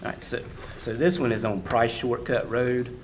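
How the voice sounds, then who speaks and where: A man, a county appraiser, presenting property cases at a public board meeting in a halting way. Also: medium pitch, steady tone, slight background noise. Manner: halting, many fillers and restarts.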